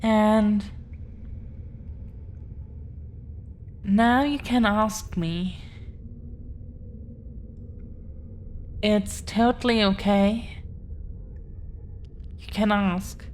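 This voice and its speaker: A woman playing an affectionate, proud dragon-blooded girlfriend in an intimate audio roleplay, talking in a teasing way with long pauses. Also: mid-range voice, darkish tone, close to an everyday voice. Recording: clear background noise.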